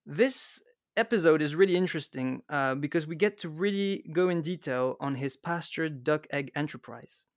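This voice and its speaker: A man's voice: fairly high pitch, animated, moderate pace, dry recording, studio quality. A man hosting a podcast, introducing the episode in a friendly, slightly hesitant way. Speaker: podcast host.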